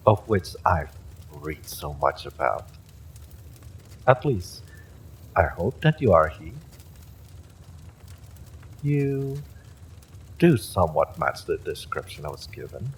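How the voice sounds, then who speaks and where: Evil male sorcerer, fantasy audio drama, deep, widely swooping delivery, gloating, mock-courteous, silky threat. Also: slight background noise, heavily acted, rough recording. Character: evil male sorcerer, smug, theatrical, silkily menacing conqueror. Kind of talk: dramatic villain monologue, audio roleplay.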